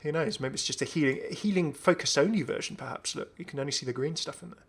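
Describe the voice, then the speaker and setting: A man's voice: on the high side, fast, slightly rough. A young man giving casual, enthusiastic commentary on a video game. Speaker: gaming video host.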